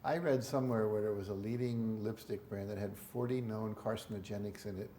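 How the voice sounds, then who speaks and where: Brief: male host, mellow warm tone, roomy echo, faint hiss. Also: on the low side, fairly even intonation, a little fast. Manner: friendly, affirming, short interjections. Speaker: interview host. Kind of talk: talk show hosting.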